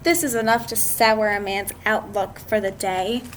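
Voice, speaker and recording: A woman reading a picture book aloud to children, expressive and drawn out, with chatty asides to her listeners. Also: medium pitch, normal speed. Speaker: read-aloud storyteller. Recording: clearly noisy amateur recording.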